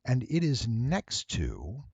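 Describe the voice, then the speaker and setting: A man narrating a tutorial, explaining things step by step in a deliberate, emphatic way. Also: mid-range voice, wildly swooping pitch, gravelly, darkish tone, breathy edge, no echo. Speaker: voice actor giving an audio editing tutorial. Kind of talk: explanatory screen-recording narration.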